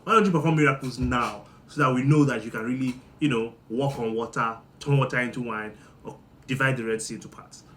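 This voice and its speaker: A man from Nigeria with a Nigerian accent, giving a joking monologue that swings between loud bursts and low muttering. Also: a little fast, slight background noise. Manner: playful, teasing, jumps between loud and muttered. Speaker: monologue host. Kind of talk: comedic monologue.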